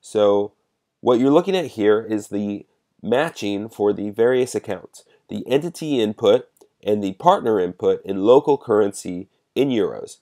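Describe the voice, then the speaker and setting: A man giving a measured, explanatory step-by-step narration as a software demonstrator. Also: fairly low pitch, expressive.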